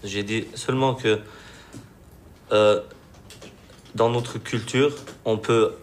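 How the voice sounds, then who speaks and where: A man's voice: fairly low pitch, fairly even intonation, slow pace, slight background noise. A man born in Belgium, answering a judge defensively and hesitantly. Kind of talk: answering questions.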